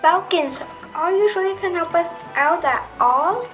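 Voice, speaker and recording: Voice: extremely high pitch, measured pace, a bit bright, poor audio. An amateur performer with a youthful female voice playing an earnest storybook kingdom creature in reader's theater, reading carefully. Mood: measured. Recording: music behind the voice. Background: clear background music.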